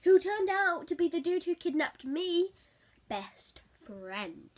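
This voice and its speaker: A woman chatting casually as she tells a story in an animated, giggly way. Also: extremely high pitch, takes it slowly. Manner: animated, giggly, acts out quotes. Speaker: vlogger telling a story.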